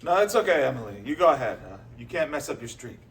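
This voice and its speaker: A man's voice: medium pitch, sing-song, fast, far from the mic, a little hiss or hum, natural voice. A man playing an earnest, game young football fan boyfriend in a live comedy table read. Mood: earnest, tender.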